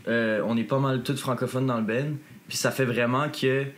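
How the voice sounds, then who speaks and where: A man's voice: mid-range voice, fairly even intonation, a little hiss or hum. Earnest Québécois punk musician, man. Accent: Québécois French. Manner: earnest, filler-heavy, quick bursts. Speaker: punk band member, guest. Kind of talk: casual interview chat.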